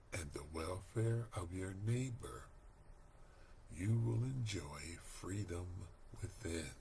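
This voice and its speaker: An older man reading a Bible study lesson aloud from home, slow, deliberate and earnest, recorded on a webcam mic. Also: low-pitched, gravelly, darkish tone, a little hiss or hum. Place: home room, indoors. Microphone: webcam at desk.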